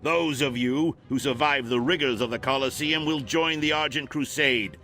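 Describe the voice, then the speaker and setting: An actor playing a noble, resolute older paladin commander in a video game, speaking like an orator. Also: male voice, mid-range voice, normal speed, sharp tone, soft background music, natural voice. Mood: rousing.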